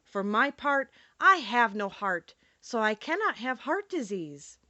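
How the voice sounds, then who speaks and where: A soft-hearted tin woodman, earnest and reasoning, with a bright tone. A woman voices him as she reads, recorded close and dry. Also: on the high side, sing-song, unhurried, no echo, lo-fi sound, exaggerated voice. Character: gentle, thoughtful male tin woodman.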